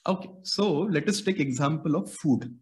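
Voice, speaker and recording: A man's voice: fairly high pitch, lively intonation, moderate pace. Teacherly Indian man explaining patiently. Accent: Indian English. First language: Marathi. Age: young adult. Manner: patient, teacherly, uses everyday examples. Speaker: music educator. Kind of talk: explanatory interview answers.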